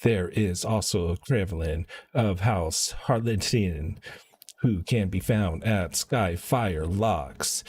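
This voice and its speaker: A man voicing an earnest, formal nobleman in a fantasy game, with a halting delivery. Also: lowish voice, darkish tone, a little hiss or hum. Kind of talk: reading game dialogue aloud.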